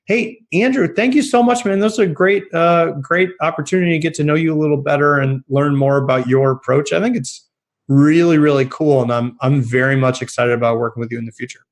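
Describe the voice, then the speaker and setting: A man hosting a podcast, sounding hoarse and a little raspy from being sick, chatting in an upbeat, casual way with lots of fillers. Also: a little high, brisk. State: hoarse, recovering from illness. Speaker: podcast host. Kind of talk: casual interview and outro.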